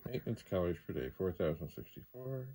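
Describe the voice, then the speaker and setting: Very deep, creaky-voiced man, rambling casually. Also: very animated, quick pace, dark tone, slight background noise. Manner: rambling, repeats phrases playfully. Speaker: vlogger demonstrating vintage computers. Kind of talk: casual rambling narration while demonstrating.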